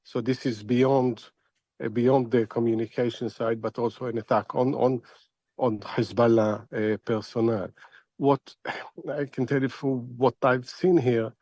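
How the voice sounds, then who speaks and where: A man speaking as a news correspondent, giving unscripted commentary in a halting, hesitant way. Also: mid-range voice, fairly even intonation, darkish tone, no echo. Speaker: reporter or correspondent.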